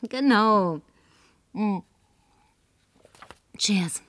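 A woman's voice: mid-range voice, drawn-out words, a bit bright. A woman dubbing a lonely, tipsy, flirtatious woman, talking in a coaxing, whispery way that at times turns demanding. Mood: confiding. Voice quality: breathy, whispery. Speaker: advertising agency woman. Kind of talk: German film dub.

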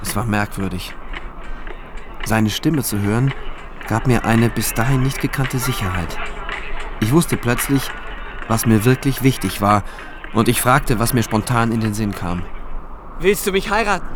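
A man's voice: mid-range voice, lively intonation, a little slow, pauses often, natural voice. Radio drama lead, male actor: military prosecutor, shaken by war, first-person telling and dialogue, music or battle din underneath. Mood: urgent, pleading.

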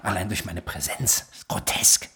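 An actor playing an agitated, wry psychiatric patient in a literary radio play, speaking terse diary fragments in a husky voice. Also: male voice, low voice, unhurried, bright, forceful tone, full character voice. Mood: wry, grandiose. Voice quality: very husky, breathy, at times whispering. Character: agitated, self-aware, wry male psychiatric patient narrating.

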